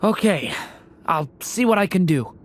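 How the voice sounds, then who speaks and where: A woman voicing a gentle, wistful young dragon boy in a video game, earnest and hesitant. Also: lowish voice, lively intonation, unhurried, a little hiss or hum.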